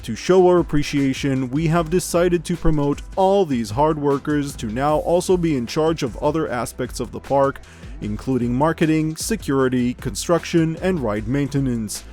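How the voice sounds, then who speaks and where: A man playing an unflappable local radio news anchor, reading parody bulletins in a measured, deadpan announcer delivery. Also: fairly high pitch, soft background music, close to an everyday voice. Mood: composed, dry. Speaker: host. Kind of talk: scripted news broadcast parody.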